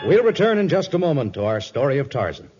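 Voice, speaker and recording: A man's voice: medium pitch, sing-song, quick pace. A man announcing and narrating a 1950s radio drama in a dramatic, portentous, scripted manner. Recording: dull lo-fi 1950s radio recording. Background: faint music.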